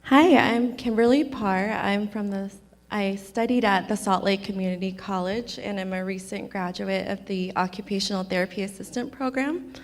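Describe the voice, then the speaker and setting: A young woman who recently graduated, introducing herself in a soft-spoken, unhurried way. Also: mid-range voice. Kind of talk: casual self-introduction. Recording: hall reverb, faint noise.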